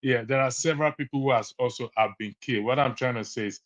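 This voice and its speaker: A man's voice: mid-range voice, quick pace, a bit bright, no room sound. A man moderating a heated online debate, often cutting in to take back the floor. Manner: keeps interjecting to regain the floor. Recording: dry, dull lo-fi call audio.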